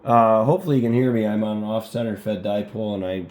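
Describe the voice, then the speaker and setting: An American man talking casually as an amateur radio operator, halting and conversational, with formal call-sign phrasing. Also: lowish voice, a little mellow. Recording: roomy echoing sound.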